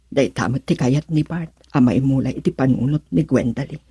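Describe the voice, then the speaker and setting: A woman's voice: a bass voice, normal speed, dark tone, breathy edge, no echo, studio quality, exaggerated voice. A woman playing a weary, reproachful middle-aged mother in a radio drama, with a Filipino accent. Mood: troubled, brooding. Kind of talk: Ilocano radio drama acting.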